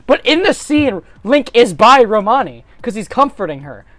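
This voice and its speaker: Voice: mid-range voice, sing-song, moderate pace, a bit bright, no echo. A narrator giving a dramatic reading of a fan story in a hammy storybook style, with casual self-aware asides.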